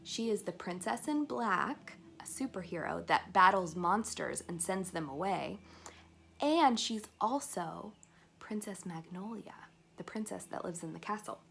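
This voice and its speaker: A woman reading a picture-filled chapter book aloud to young children, expressive and pausing to put questions to her listeners. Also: mid-range voice, gravelly, slight background noise.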